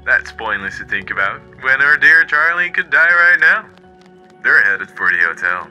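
A man's voice: medium pitch, very animated, normal speed, bright, forceful tone, low-quality recording. A man voicing a smug male radio demon in a fan comic dub, sly and mocking. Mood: scheming. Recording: faint music behind.

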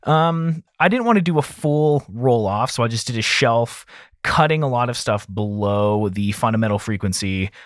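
A man talking informally and thinking aloud as an audio engineer in a software tutorial voice-over. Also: medium pitch, lively intonation, moderate pace, no echo, clean studio sound.

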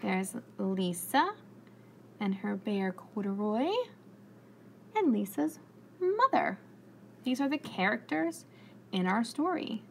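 A woman in her thirties reading aloud as a preschool teacher in a classroom, encouraging and deliberate, asking questions. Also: mid-range voice, sing-song, gravelly. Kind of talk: children's read-aloud with questions. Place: indoors, school classroom. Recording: faint room noise.

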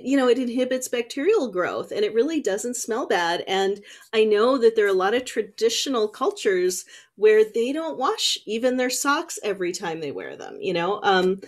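A woman in her thirties chatting casually on a video call as a knitting show host, thoughtful and often rephrasing as she goes. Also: on the high side. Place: small indoor room at home. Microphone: computer mic on a video call.

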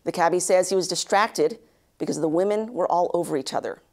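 A woman in her thirties anchoring a news bulletin, reading a script with measured, even delivery in a studio. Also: fairly low pitch. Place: studio news set, indoors.

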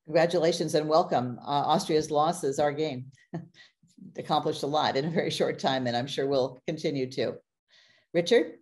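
A middle-aged woman hosting an interview over a video call, chatty and quick to laugh. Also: low-pitched, a little mellow. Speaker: host.

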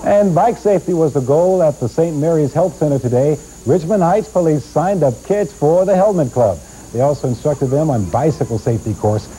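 A man's voice: a little high, expressive, moderate pace, dark tone, rough recording. A man with an American accent reading scripted local news as an anchor, on a hissy nineties VHS recording.

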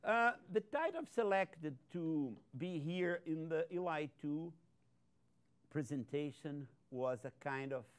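An older Brazilian man with a Portuguese accent gives an animated lecture in a large auditorium, often tagging sentences with "okay?". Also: on the high side, unhurried, clean tone. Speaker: guest speaker, scientist. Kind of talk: conference lecture to an audience.

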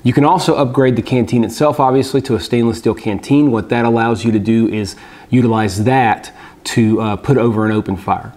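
A man, giving a relaxed, explanatory gear review vlog from a table in a small room, with many fillers. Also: medium pitch, a little fast.

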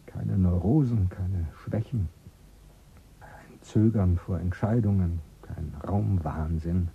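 A man's voice: deep voice, takes it slowly, vocal fry, slightly rough, phone-quality sound, full character voice. An actor playing a skeptical, probing male official in a German radio drama.

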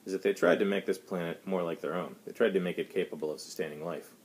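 Man reading as low-voiced, brisk starship captain. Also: gravelly, slightly rough, full character voice. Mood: thoughtful, probing. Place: small home study, indoors.

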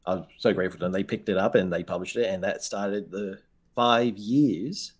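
An Australian man in his thirties chats over a headset video call, his voice sitting a little low. He pokes fun at himself, laughs readily and often restarts his words. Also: moderate pace. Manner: self-deprecating, laughs often, repeats words when starting. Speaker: guest author. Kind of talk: casual video-call interview.

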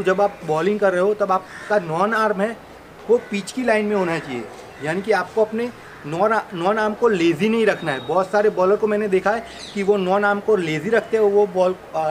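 A man, explaining technique step by step to camera as a sports coach, demonstrating as he talks. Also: high voice. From India. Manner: demonstrating with gestures while talking, addressing viewers directly. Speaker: cricket bowling coach and video host. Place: open rooftop terrace outdoors in a city. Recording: outdoor ambient noise.

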